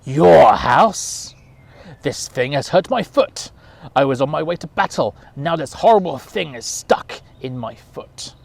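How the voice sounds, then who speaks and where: A man doing a proud, loud lion warrior. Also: a little high, lively intonation, measured pace, creaky, exaggerated voice. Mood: affronted.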